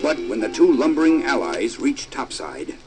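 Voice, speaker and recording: A man's voice: medium pitch, very animated, moderate pace, a little mellow, soft background music, close to an everyday voice. A man narrating an adventure audio drama in a dramatic, scripted storytelling style.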